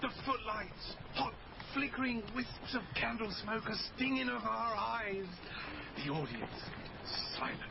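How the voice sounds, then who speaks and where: Flamboyant theatrical father, high voice, pompous, declamatory, florid, radio drama, muffled sound over ambient noise. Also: measured pace, vocal fry, heavily acted. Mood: grandly dreamy. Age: middle-aged. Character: grandiloquent theatrical father, flamboyant travelling actor-showman.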